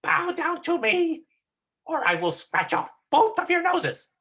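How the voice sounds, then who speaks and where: An adult performer puts on a haughty monkey guarding its forest in a folktale play. The voice is pushed high and swings widely, with slow, threatening, emphatic phrases. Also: very animated, fairly bright tone, full character voice.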